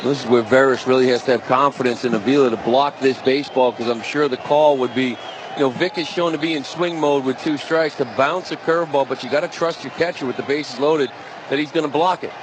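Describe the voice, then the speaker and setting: A man's voice: mid-range voice, steady tone, brisk. An American man giving conversational, explanatory analysis as a colour commentator on a live baseball broadcast. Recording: live broadcast with loud background noise.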